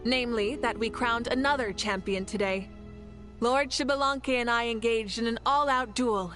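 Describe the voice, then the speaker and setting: Woman as a proud, fairly high-voiced warrior queen. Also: normal speed, fairly bright tone, faint music behind, close to an everyday voice. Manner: assured, stately, formal. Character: proud, composed, gracious warrior ruler woman. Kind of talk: video game voice acting.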